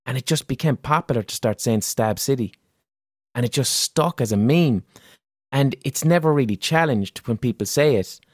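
A man's voice: mid-range voice, lively intonation, moderate pace, darkish tone, dry recording, studio quality. Sweary man with a Limerick accent. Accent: Limerick City. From Ireland. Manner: blunt and sweary, storytelling. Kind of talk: casual chat.

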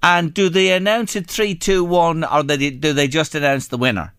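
A man's voice: fairly high pitch, steady tone, normal speed, fairly bright tone, smooth, dry recording, clean studio sound. A man hosting a radio phone interview, praising his guests effusively. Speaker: radio host.

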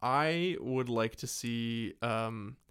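A man with a medium-pitched voice talks as a podcast co-host in a dry, close recording. His delivery is casual and conversational. He often hesitates as he hunts for the next word. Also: extremely slow, a bit bright, clear, resonant tone, dry recording. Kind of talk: casual movie chat.

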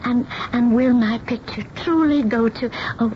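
An actress playing a fragile, childlike older small-town spinster in a radio drama, speaking in a hesitant, stammering, wistful way. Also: female voice, on the high side, a little slow, natural voice. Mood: flustered, hesitant. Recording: muffled noisy 1970s radio drama recording.

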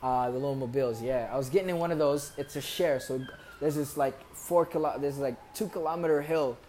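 A young American man, a podcast guest, casually telling animated stories with jokes and laughs. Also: mid-range voice, brisk, a little hiss or hum. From United States. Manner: animated, joking, laughs through his stories. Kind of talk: casual conversational storytelling.

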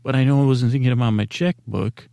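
A man hosting a sleep podcast, rambling in a meandering, halting way with a goofy, self-deprecating streak. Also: mid-range voice, steady tone, gravelly, dark tone, no echo, studio quality. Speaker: podcast host.

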